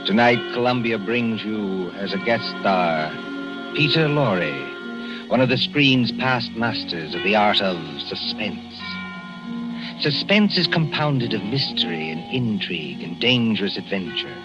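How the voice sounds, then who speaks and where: A man narrating a radio mystery with an ominous, measured, theatrical delivery, drawing out the suspense. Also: lowish voice, big pitch swings. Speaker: radio drama host and narrator. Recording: muffled 1940s radio broadcast with music behind.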